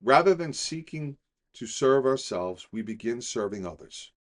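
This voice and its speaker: A middle-aged man reading a daily recovery meditation in a calm, deliberate way, slowing on key phrases. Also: medium pitch. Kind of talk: measured scripted reading.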